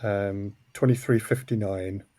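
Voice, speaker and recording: A man from Seattle chatting as a podcast guest in a deadpan, hesitant way. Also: on the low side, measured pace. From Seattle, United States. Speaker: audio drama co-creator, podcast guest. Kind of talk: casual podcast chat.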